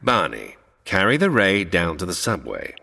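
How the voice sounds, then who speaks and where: A man's voice: low voice, huge pitch swings, normal speed, creaky, sharp tone, big-room sound, exaggerated voice. An actor playing a scheming male villain who gives orders smugly in a comedy audio drama. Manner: commanding, smug.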